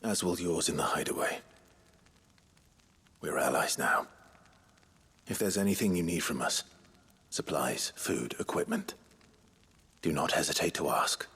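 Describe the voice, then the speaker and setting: A man playing a brooding, earnest hero and rebel leader in a video game cutscene, grave and understated, with a husky voice. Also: low voice, a little slow, pauses often, a bit bright, exaggerated voice. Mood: earnest, courteous.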